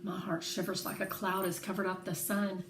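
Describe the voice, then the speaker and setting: A woman reading a children's novel aloud in expressive storytelling narration. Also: medium pitch, moderate pace. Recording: roomy echo, faint background noise.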